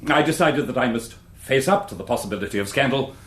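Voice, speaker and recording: A man's voice: mid-range voice, animated, fast talker, fairly bright tone, lightly acted. Man acting guarded English academic, roomy echo. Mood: anxious, confessional. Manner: formal, precise, defensive. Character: dignified, guarded English academic knight in the witness box. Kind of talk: courtroom radio drama acting.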